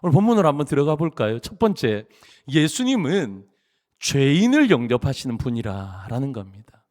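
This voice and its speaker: A middle-aged man from Busan, South Korea, preaching a sermon as pastor in a church sanctuary, in an animated, jokey way with emphatic rising phrases. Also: mid-range voice, moderate pace. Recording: long reverb of a large church hall.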